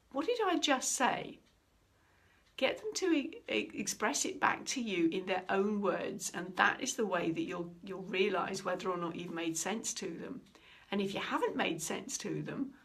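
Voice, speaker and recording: Animated woman giving a communication talk. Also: medium pitch. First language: English. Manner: animated storytelling, acting out quoted speech. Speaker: communication trainer. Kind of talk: instructional talk.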